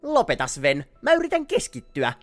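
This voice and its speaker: A woman voicing a naive, friendly little male snowman in an animated film fan dub. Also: on the high side, soft background music. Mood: cheerfully naive.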